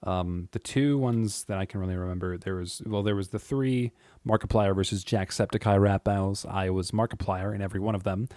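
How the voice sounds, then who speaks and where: Deep-voiced man rambling casually. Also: steady tone, a little fast, darkish tone, no echo, clean studio sound. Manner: rambling and chatty, frequent chuckles, "um" and "uh". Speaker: YouTube creator. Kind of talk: casual monologue to camera.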